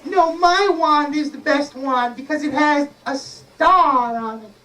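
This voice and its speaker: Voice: high voice, slow pace, bright, forceful tone, pitched above the performer's own voice, exaggerated voice. A performer voicing a bossy, unimpressed little female fairy from a storybook tale. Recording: distant mic in noisy audience room.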